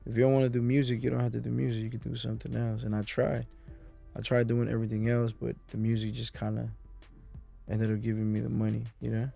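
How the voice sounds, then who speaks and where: A man's voice: mid-range voice, monotone, quick pace, vocal fry, dark tone. A man who grew up in Mexico City, a music producer talking as a guest in a casual sit-down interview, full of street slang and "you know" asides.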